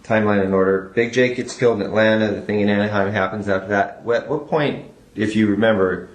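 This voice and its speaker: Low-voiced American police interrogator, echoey room. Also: male voice, steady tone, fairly quick. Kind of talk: casual investigative questioning.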